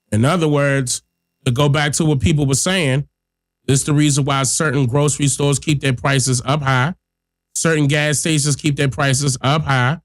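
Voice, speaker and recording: A man's voice: mid-range voice, no room sound. Stuffy-nosed man giving blunt commentary. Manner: blunt and emphatic, talks straight to viewers. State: stuffy nose from a cold. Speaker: podcast host. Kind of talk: casual political commentary.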